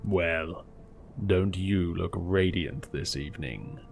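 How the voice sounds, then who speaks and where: Guy putting on a low slow sneering masked villain, mocking and creepy, audiobook style. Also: gravelly, faint music behind, exaggerated voice. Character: mocking menacing man with jack-o'-lantern mask.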